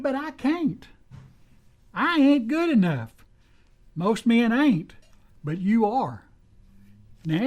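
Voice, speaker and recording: A performer with an American accent playing an earnest, folksy western sidekick in old-time radio style, pleading urgently in rustic dialect. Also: mid-range voice.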